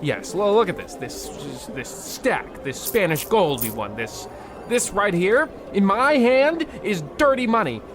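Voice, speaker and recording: A man's voice: sits high, sing-song, a little slow, music in the background. A man playing a pompous, swooping radio-play husband. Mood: indignant. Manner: pompous, blustering, stammering indignation. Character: pompous, fussy, scolding husband obsessed with supper. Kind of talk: old-time radio play comedy acting.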